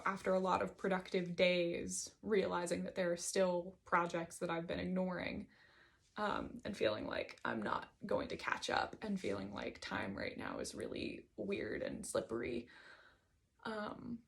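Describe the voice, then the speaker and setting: A woman's voice: fairly low pitch, vocal fry. A woman giving a reflective solo monologue, hesitant and digressive as she thinks aloud about her writing. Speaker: host reading and discussing own writing.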